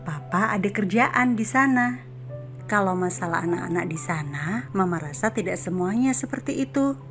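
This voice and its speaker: A woman playing a gentle, sorrowful mother in an animated horror story. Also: medium pitch, normal speed, music in the background, close to an everyday voice. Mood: reassuring.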